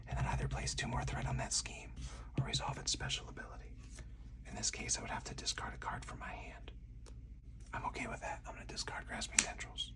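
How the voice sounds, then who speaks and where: Male ASMR game host: hushed breathy whisper, slow and deliberate, a little off-mic. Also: distant mic. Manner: slow, soothing, deliberate step-by-step narration. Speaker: solo board game player and channel host.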